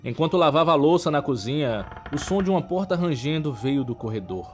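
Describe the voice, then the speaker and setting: A man with a Brazilian accent narrating a scripted horror story in a measured, dramatic way. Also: on the high side, animated, soft background music.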